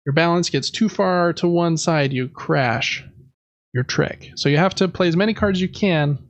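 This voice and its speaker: A man's voice: on the high side, normal speed, no room sound, lo-fi sound. A man co-hosting a board game podcast, chatting casually and explaining things in a conversational way. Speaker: podcast co-host.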